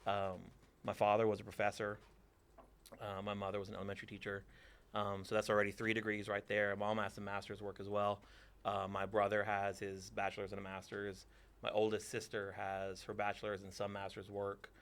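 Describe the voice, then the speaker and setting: A man's voice: lowish voice, monotone, a little fast, a bit bright, clean tone. A man in his forties from Texas, a theater teacher answering interview questions with dry, teasing humor.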